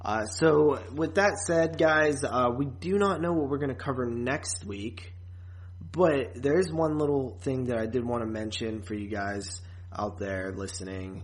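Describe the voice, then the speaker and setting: A man co-hosting a podcast in a casual, chatty way, often pausing and repeating words as he thinks. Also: medium pitch. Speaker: podcast co-host.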